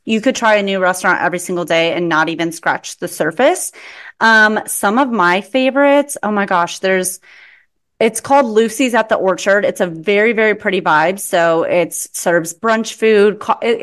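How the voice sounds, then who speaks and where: A woman's voice: mid-range voice, fairly bright tone. A young adult woman from the Chicago area, chatting casually as a podcast guest and often saying "like". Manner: chatty, lots of "like". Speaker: guest, social media manager. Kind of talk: casual interview chat.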